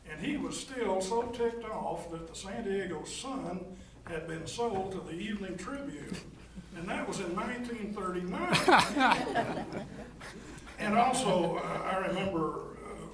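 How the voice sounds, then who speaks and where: Older Texan man, distant and echoey. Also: sits high. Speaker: panel moderator. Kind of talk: informal opening remarks to a public forum. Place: large echoing indoor venue, public forum. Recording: echoey, noisy room, distant microphone.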